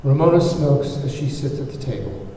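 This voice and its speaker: A man's voice: medium pitch, expressive, normal speed, mellow. A man reading scene descriptions and stage directions as narrator of a screenplay table read. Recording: very echoey hall, distant mic, faint noise.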